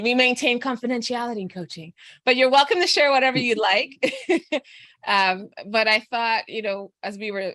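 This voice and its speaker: A woman's voice: medium pitch, no room sound, clean studio sound. Laughing, encouraging woman podcast host. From Texas, USA. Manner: warm, laughs often, encouraging. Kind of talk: casual interview chat.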